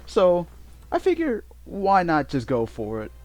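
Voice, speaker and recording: A man giving casual, unscripted game commentary in a halting way, often repeating and correcting himself as he goes. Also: high-pitched, huge pitch swings, a little mellow. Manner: halting, repetitive, self-correcting. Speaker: let's play host. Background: game music.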